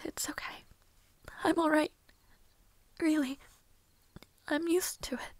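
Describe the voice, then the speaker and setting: A very high-pitched, breathy young maid, acted by a woman in an intimate audio roleplay. She is devoted and fragile, speaking very slowly in halting, tender half-whispers. The sound is close and dry. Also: steady tone, no room sound. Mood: weary, reassuring.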